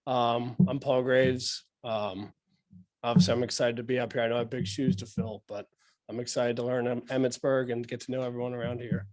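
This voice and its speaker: A man, a new sheriff's deputy, introducing himself to a town board in a friendly way, his voice raspy after an illness. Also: mid-range voice, little pitch movement, a little fast, a little mellow. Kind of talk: brief self-introduction.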